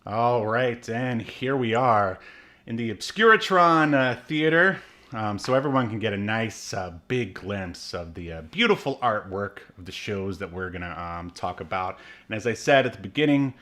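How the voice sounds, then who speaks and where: A man's voice: lowish voice, lively intonation, normal speed, a bit bright. A man in his thirties co-hosting a podcast, chatting casually with lots of fillers and laughs. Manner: rambling, many fillers, laughs often. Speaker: podcast co-host. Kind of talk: casual chat.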